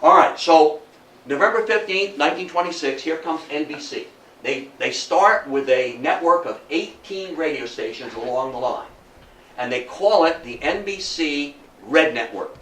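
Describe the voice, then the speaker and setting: A man's voice: a little high, animated, normal speed, fairly bright tone. A man in his seventies giving a public history lecture in an emphatic storytelling manner, with rhetorical questions. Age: 70s. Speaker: guest lecturer on radio history. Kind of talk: public history talk to a live audience. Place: indoor room at a public talk. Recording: echoey room, distant mic, faint noise.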